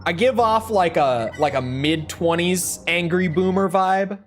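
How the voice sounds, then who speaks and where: A man's voice: sits high, a little slow, faint music behind. Rambling young man streaming a game. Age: early 20s. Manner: rambling, joking and self-mocking. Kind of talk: casual chat while gaming.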